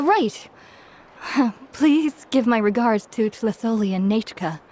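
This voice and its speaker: A woman's voice: fairly high pitch, darkish tone, a little hiss or hum. An actress playing a kindly, forgetful woman villager in a video game, with a hesitant manner. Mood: confused, flustered. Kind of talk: game character dialogue. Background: game music.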